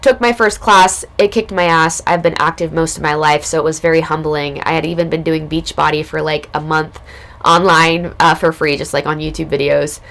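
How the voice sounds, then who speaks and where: A woman recovering from illness, her voice hoarse and raspy, telling a personal spiritual story in a casual, loose way as a podcast host. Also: deep voice, fairly even intonation, normal speed, a bit bright. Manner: casual, loose, spiritual talk with many fillers.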